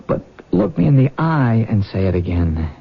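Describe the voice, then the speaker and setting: An actor playing an intense, insistent young soldier and jilted suitor in a radio drama, with a husky, gravelly voice. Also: male voice, on the low side, very animated, normal speed, full character voice. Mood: insistent, hurt. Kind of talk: old-time radio drama acting. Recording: dull, lo-fi radio recording.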